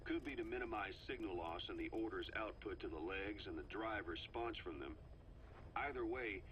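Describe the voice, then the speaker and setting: An actor playing a middle-aged intelligence operative in a game's cassette tape, briefing in a measured, matter-of-fact way. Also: male voice, lowish voice, muffled recording, a little hiss or hum, lightly acted.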